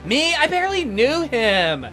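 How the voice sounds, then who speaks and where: A woman's voice: high-pitched, big pitch swings, a little slow, a bit bright, faint music behind, pitched above the performer's own voice, full character voice. A woman voicing a superficial, defensive and bitchy young woman in a visual novel. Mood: defensive, curt.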